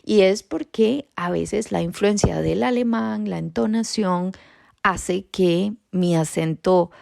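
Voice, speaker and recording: Woman, Costa Rican Spanish accent, podcast host, reassuring, gravelly edge, dry close mic. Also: medium pitch, slow, dry recording. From Costa Rica. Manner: warm reassuring, often checks in with a rising "¿sí?". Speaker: podcast host and bilingualism educator. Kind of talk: informal educational podcast talk.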